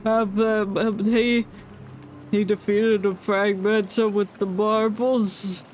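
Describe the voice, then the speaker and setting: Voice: mid-range voice, level tone, slow pace, a little mellow, slight background noise. A video game streamer giving casual live commentary, rambling off into goofy asides. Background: game music.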